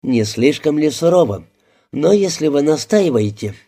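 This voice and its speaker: A man's voice: on the high side, expressive, moderate pace, dark tone. A man voicing a cool, wry young woman. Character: cool, poised young woman, politely teasing.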